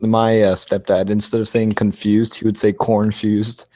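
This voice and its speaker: A man's voice: fairly low pitch, level tone, a little slow, a little mellow, no room sound, clean studio sound. A young man from Texas with a slight Texas accent, hosting a casual live stream chat, easygoing and laughing often. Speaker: motovlog live stream host.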